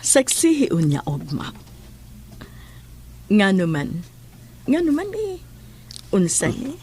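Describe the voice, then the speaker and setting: A woman playing an amorous, bossy wife in a horror audio drama, with a coaxing, drawn-out delivery. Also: mid-range voice, wildly swooping pitch, darkish tone, a little hiss or hum, full character voice.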